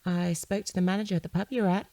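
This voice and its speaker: A woman's voice: lowish voice, steady tone, rapid-fire, dark tone, no echo, natural voice, poor audio. An actress playing a suspicious, persistent wife questioning her husband in a naturalistic audio drama, in a controlled, sceptical, probing way. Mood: accusing.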